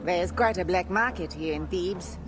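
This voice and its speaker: An actor playing a wary, secretive informant of ancient Egypt in a game cutscene, speaking in a guarded, portentous way. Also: male voice, high voice, moderate pace, close to an everyday voice. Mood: wary, ominous. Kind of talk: video game cutscene acting. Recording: music behind the voice.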